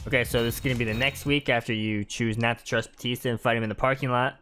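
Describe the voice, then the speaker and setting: A man's voice: medium pitch, steady tone, fast, fairly bright tone, soft background music. A man giving casual gameplay commentary as a gaming video creator.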